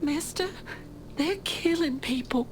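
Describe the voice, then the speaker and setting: Game role: reluctant woman clerk informant, anxious, high register, noisy backdrop. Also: takes it slowly. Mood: nervous, confiding. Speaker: informant character. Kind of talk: video game cutscene acting. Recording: clear background noise.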